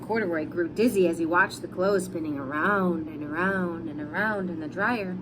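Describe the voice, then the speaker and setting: A woman's voice: fairly low pitch, normal speed, background noise. A woman reading a storybook aloud expressively for young children, in simple explanatory fashion. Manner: explains words simply as she reads. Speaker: storybook reader.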